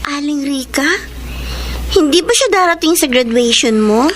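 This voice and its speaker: A woman's voice: high-pitched, lively intonation, normal speed, background noise. An actress playing a caring, loyal teenage girl in a radio drama, speaking in an earnest, concerned manner. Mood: sympathetic. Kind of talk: Filipino radio drama acting.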